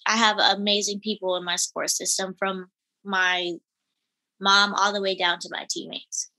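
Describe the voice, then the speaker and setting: A woman in her twenties, an elite gymnast and interview guest, talking in a relaxed, giggly way with many fillers. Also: medium pitch, moderate pace, poor audio. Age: 20s. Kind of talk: casual interview chat.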